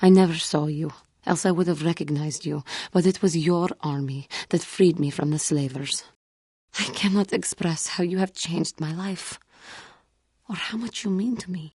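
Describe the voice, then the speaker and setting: A woman playing an earnest, wounded alien warrior in a video game, speaking formally and gravely in measured phrases. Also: fairly low pitch, a little mellow, dry recording, clean studio sound. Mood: hesitant, devoted. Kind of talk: video game character dialogue.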